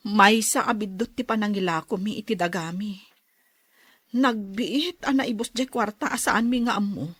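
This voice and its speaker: A woman's voice: mid-range voice, moderate pace, fairly bright tone, husky, dry recording. An actress playing a neighbor woman who has spent all her money and is now asking for help, speaking in a worried, pleading way. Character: neighbor woman fallen on hard times after spending. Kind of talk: audio drama acting.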